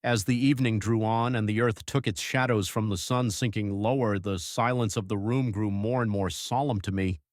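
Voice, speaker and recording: A man voices a troubled young husband reading out his journal. His low voice is grave and measured, in the reflective style of a gothic audiobook, recorded very dry. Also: fairly even intonation, no room sound, natural voice. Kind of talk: dramatised audiobook narration.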